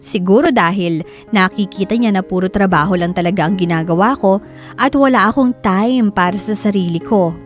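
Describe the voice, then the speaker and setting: A woman's voice: medium pitch, normal speed, natural voice. Actress as reflective woman narrator over music. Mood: reflective, weary. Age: 30s. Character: overworked breadwinner woman narrating her own life, reflective. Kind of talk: scripted first-person drama narration. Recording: music under the voice.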